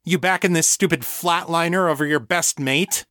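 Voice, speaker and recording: A man's voice: lowish voice, very animated, moderate pace, bright, forceful tone, slightly rough, dry recording, clean studio sound, heavily acted. Man acting a snarling, violent male thug. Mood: spitting anger. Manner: snarling, spitting his words. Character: violent, menacing male thug with telekinesis, raging.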